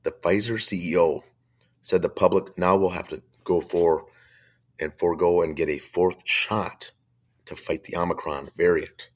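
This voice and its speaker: A man hosts a faith-based talk radio show with a very low voice that a chest cold has made hoarse. He talks bluntly and conversationally and gives his opinions freely. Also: fairly even intonation, moderate pace.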